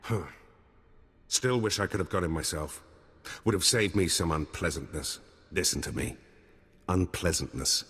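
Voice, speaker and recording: A ruthless yet honourable military spymaster for a video game. His voice is very low and rough-edged. He talks in a grave, resigned way, with long reverb around him. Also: a bit bright. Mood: wary, businesslike. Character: gruff male army captain turned spy handler, ruthless but honourable.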